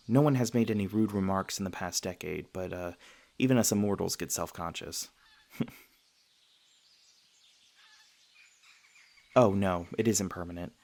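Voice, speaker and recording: Deep-voiced, gentle male vampire, acted by a man. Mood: self-conscious. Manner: hesitant, soft-hearted, earnest, with stammers. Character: gentle, self-conscious male vampire, century old but youthful, sweetly flustered. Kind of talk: intimate ASMR roleplay addressed to listener.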